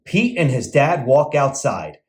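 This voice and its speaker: Male children's storyteller, hugely expressive intonation, measured and slow reading. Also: medium pitch. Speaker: children's entertainer and read-aloud host. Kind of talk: animated storybook narration for children.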